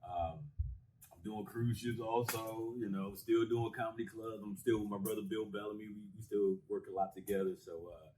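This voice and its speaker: A man's voice: flat delivery, normal speed, warm tone, a little hiss or hum, low-quality recording. A man from Las Vegas, a stand-up comedian, chatting loosely and playfully as an interview guest. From Las Vegas, USA. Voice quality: deep voice. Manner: laid-back, chuckling, loose and playful. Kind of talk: casual interview chat. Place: in a moving vehicle.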